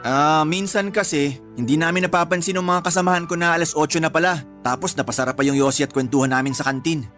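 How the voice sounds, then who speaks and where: A man's voice: a little high, fast talker, a bit bright, soft background music, lightly acted. A man playing a defensive, easygoing young husband in a Filipino radio drama, talking in a whiny, protesting way.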